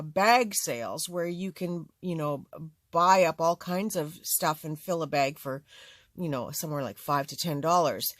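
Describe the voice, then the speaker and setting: An older woman with a slightly raspy voice hosting a casual, rambling craft tutorial, full of ums and asides. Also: fairly low pitch, a bit bright, no echo, clean studio sound. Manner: rambling, chatty, many fillers. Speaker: craft channel host.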